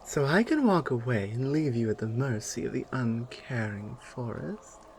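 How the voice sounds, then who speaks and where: Woman voicing stern Amazonian warrior, ASMR roleplay, measured and commanding, deliberate pauses, slow pace, lively intonation. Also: rumbling low, slight background noise, full character voice. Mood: cool, threatening. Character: proud stern Amazonian warrior woman guarding an enemy prisoner.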